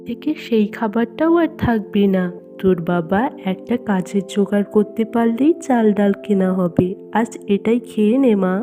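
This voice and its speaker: Woman acting a mellow-voiced, long-suffering farmer's wife. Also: medium pitch, moderate pace, faint music behind, close to an everyday voice. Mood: worried. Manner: plaintive, reproachful.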